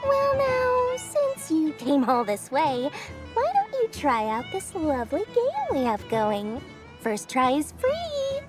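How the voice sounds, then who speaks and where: A woman's voice: high voice, wildly swooping pitch, slow pace, heavily acted. A woman voicing a scheming little female merchant in a video game, with a theatrical sales patter. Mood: salesy. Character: scheming, greedy little female merchant running a prize stall. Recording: music behind the voice.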